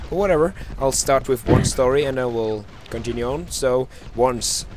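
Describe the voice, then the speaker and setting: A man's voice: mid-range voice, normal speed. A young man from Norway, casually telling stories in a joking, giggly, informal way. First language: Norwegian. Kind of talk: casual chat, storytelling. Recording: noisy amateur mic, clicks.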